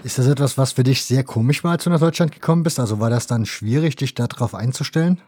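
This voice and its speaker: A man's voice: mid-range voice, fast, dark tone, breathy edge, no room sound, studio quality. A man hosting a podcast, asking questions in a conversational interview style.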